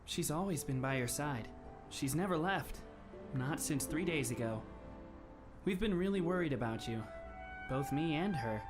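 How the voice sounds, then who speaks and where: A man in an anime fan dub playing a polite, composed teenage schoolboy who speaks in formal, measured phrases with a faintly wry touch. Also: fairly high pitch, animated, music in the background, natural voice. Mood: gentle, wistful.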